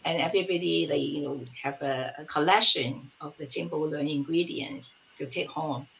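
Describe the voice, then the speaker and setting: A woman's voice: low-pitched, moderate pace, creaky, low-quality recording. A woman in her sixties chatting on a video call from a home room, searching for words as she tells stories. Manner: warm storytelling, searching for words. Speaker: community elder, collective member. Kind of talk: casual panel chat on video call. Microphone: laptop mic on video call.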